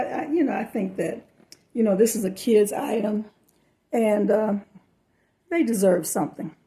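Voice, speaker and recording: A middle-aged woman with a hoarse voice, chatting casually through a thrift and yard sale haul with many fillers and restarts. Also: fairly high pitch, dark tone. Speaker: reseller showing thrift hauls.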